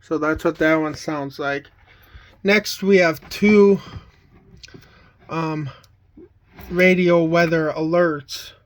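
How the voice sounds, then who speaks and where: A man casually showing items from a collection in a plain, halting, item-by-item way. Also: fairly high pitch, fairly even intonation. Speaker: collector showing weather radios. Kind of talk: casual show-and-tell vlog.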